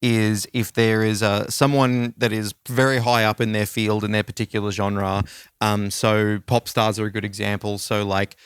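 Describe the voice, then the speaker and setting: A low-pitched man with a smooth, clear voice co-hosts a music podcast. He talks in a relaxed, rambling way, full of "like" and "you know". The close mic sounds dry. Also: normal speed, no echo. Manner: rambling, filler-heavy, relaxed. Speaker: podcast co-host. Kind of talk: casual podcast chat.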